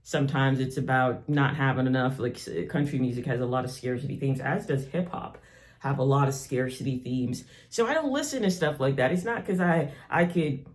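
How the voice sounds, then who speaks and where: A man with a Southern US accent talks as a spiritual teacher in a loose, conversational monologue. His voice sits at an average pitch. He sounds earnest and reflective as he explains his ideas. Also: a little fast. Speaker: spiritual teacher and channel host.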